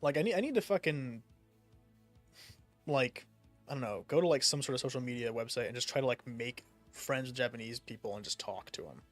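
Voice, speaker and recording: A man's voice: mid-range voice, brisk, faint music behind. A young man from the United States chatting casually while gaming, rambling with lots of fillers and casual swearing. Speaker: streamer.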